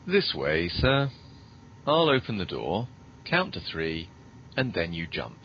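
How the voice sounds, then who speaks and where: A man playing a formal, deferential, deadpan butler with a shady streak in a scripted comedy podcast. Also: slow, full character voice. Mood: polite, firm. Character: deadpan, dutiful, quietly shady male butler. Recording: muffled, noisy low-quality podcast audio.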